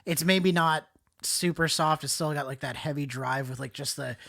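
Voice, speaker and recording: A man's voice: a little high, normal speed, creaky, pushed and bright. A man with a nasal voice hosting a casual music chat in an informal, jokey, slangy way. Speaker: podcast host discussing music albums.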